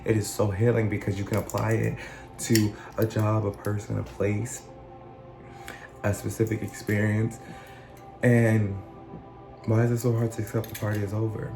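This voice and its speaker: A man talking in a casual, earnest monologue, his voice still rough from being sick, often circling back and restarting his phrases. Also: lowish voice, little pitch movement, normal speed, long pauses, roomy sound, over music, poor audio. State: recovering from illness, voice still rough. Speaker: channel host.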